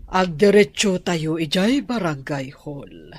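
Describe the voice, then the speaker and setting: A woman's voice: fairly low pitch, lively intonation, slightly rough, dry recording, exaggerated voice. A woman playing an authoritative, protective noblewoman in a radio drama, speaking in a commanding way with an Ilocano accent. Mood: firm, commanding. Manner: commanding, deliberate, questioning. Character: authoritative, protective noblewoman and mother, Dayang Rio. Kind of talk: Filipino radio drama acting.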